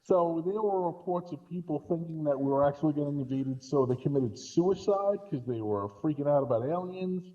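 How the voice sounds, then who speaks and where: A man's voice: fairly high pitch, animated, fairly quick, few pauses, mellow, lo-fi sound. A man in a casual podcast discussion, telling things in a rambling way with repeated false starts. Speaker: podcast guest co-commentator.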